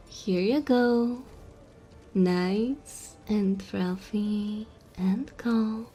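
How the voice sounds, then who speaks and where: Woman as slow-talking cheeky little goblin barmaid. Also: mid-range voice, dark tone. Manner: teasing, chatty, playful. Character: small cheeky female goblin bartender in fantasy tavern. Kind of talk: fantasy audio roleplay, addressing the listener softly.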